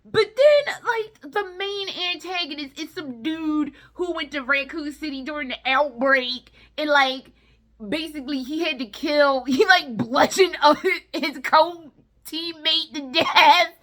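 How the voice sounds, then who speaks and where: A woman's voice: high-pitched, measured pace, fairly bright tone. A woman giving casual, chatty commentary in a blunt, exasperated way, with plenty of slang and swearing. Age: adult, no longer young. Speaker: vlogger reviewing films.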